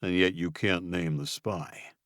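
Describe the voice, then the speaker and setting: A stern, grim leader, read by a man, speaks low and unhurried, with a deep, hushed voice. Each question lands quiet and cold, in a dry, close storybook reading. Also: steady tone, dry recording. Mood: cold, probing. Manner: low, slow, quietly menacing.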